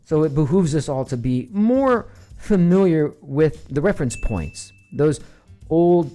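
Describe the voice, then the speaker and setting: A middle-aged man teaching a voice acting class in a chatty, animated way, full of asides. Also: fairly high pitch. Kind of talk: casual lecture to a class.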